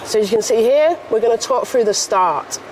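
A woman's voice: a little high, expressive, a little mellow, slight background noise, low-quality recording. A woman with a Northern English accent giving chatty live commentary at a pool competition. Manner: chatty, brisk, asks follow-up questions.

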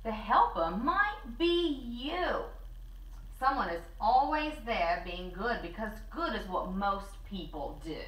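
Expressive, high-pitched woman reading a children's story. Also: takes it slowly. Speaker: co-host reading a picture book. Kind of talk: animated children's story read-aloud. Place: small living room with fireplace and bookshelves. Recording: roomy, slightly distant camera mic.

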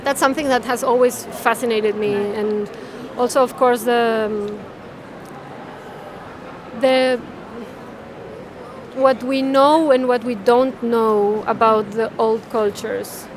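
A woman, talking haltingly and thoughtfully about her artwork, often tagging phrases with "no?". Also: fairly high pitch, fairly even intonation. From Mexico. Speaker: artist. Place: large indoor art fair hall. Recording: crowd chatter in a busy hall.

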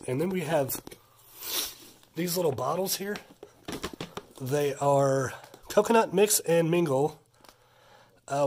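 A husky-voiced man in his thirties talks in an easy, chatty way, sounding stuffed up from a sinus infection. He is recorded indoors on a phone camera held close, easily impressed and drifting into a rant now and then. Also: a little high, darkish tone. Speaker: vlogger showing a haul. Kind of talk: casual haul vlog chat.

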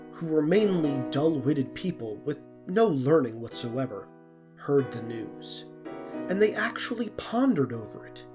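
A narrator reading a scripted storybook tale. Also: medium pitch. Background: plucked string music.

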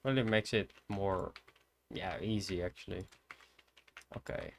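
A man's voice: deep voice, unhurried, creaky. Shy young Dutch man streaming a game. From Netherlands. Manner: soft-spoken, hesitant, thinking aloud. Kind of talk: casual gameplay commentary. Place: indoors at a computer.

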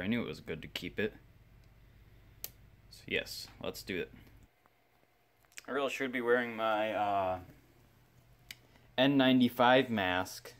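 A man's voice: on the low side, fairly bright tone. A man giving casual repair commentary as a hobbyist, rambling and self-deprecating as he thinks aloud. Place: indoor workshop bench.

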